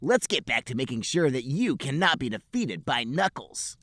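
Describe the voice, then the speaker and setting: Man as overdramatic teenage hedgehog hero, swooping pitch. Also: sing-song, moderate pace, fairly bright tone, dry recording, clean studio sound. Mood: earnest. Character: dramatic, anxious teenage male cartoon hedgehog hero.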